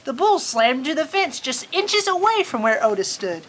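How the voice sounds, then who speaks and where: Lady w high voice doing kids storytime outside, folksy n playful, bit of background noise. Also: very animated, moderate pace, a bit bright. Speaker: farm storyteller host. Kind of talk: children's storybook read-aloud with casual asides. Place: outdoors on a farm in cold weather. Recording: outdoor farm ambience, background noise. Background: faint outdoor ambient noise.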